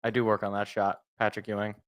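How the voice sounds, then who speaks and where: An American man with a slightly raspy, congested voice, hosting a casual solo sports talk show in a rambling, jokey way that repeats phrases for emphasis. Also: lowish voice, flat delivery, fast, very noisy. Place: indoors at home.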